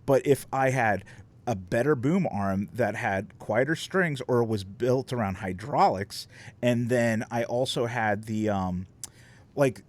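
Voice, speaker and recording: A man streamer chatting casually on a desk mic, rambling and swearing, with lots of fillers. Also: mid-range voice. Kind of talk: casual chat. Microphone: desk microphone.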